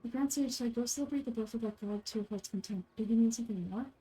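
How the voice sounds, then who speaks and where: A woman's voice: a little high, fast, mellow, slight background noise, voice pushed down, lightly acted, rough recording. A woman voicing a serious, pensive young traveler from a video game, in a subdued and reflective manner. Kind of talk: video game character dubbing.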